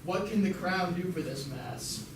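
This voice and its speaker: A woman's voice: lowish voice, unhurried, natural voice. A woman voicing a bumbling, self-important male king of a space empire in an amateur comic puppet show. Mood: placating. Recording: echoey distant recording with clear noise.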